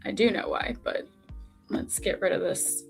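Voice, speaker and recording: A young woman hosts a live trading stream in a loose, chatty way, full of "um" and "like." Her voice sits at an ordinary middle pitch for a woman. Soft music plays faintly behind her. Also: steady tone, unhurried, vocal fry. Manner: chatty, rambling with many fillers. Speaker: trading livestream host. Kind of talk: casual live chat while trading on screen.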